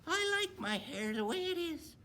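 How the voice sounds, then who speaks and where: A woman's voice: extremely high pitch, big pitch swings, moderate pace, a bit bright, full character voice. A woman voicing a cheerful, wacky young pony in a children's book discussion, with some background noise. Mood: cheerful, musing.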